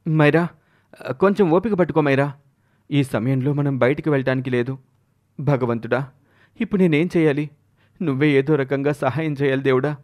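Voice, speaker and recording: An anxious, devoted husband in a storybook tale, voiced by a man, with a medium-pitched voice. He speaks earnestly and pleadingly, a frightened man trying to protect his wife. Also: moderate pace, long pauses, a little mellow, close to an everyday voice. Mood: desperate.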